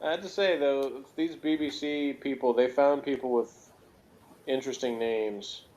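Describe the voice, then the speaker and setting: A man's voice: mid-range voice, steady tone, unhurried, a little mellow, lo-fi sound. A man chatting casually as a reviewer, rambling and jokey, with pop-culture asides. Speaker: podcast reviewer.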